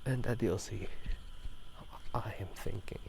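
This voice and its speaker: A man speaking in soft whispers for a casual ASMR gaming chat outdoors at night, unscripted and rambling, often correcting himself. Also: on the low side, a little slow, vocal fry, slight background noise. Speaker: video host. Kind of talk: whispered ASMR chat about video games. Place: outdoors at night near rice fields.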